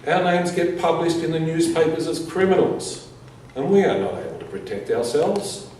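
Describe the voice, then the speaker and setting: A man reading a prepared statement aloud as a conference speaker, in measured, deliberate short phrases. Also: fairly high pitch, expressive, a little mellow. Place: reverberant conference room. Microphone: distant from the speaker. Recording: echoey room, distant mic, faint noise.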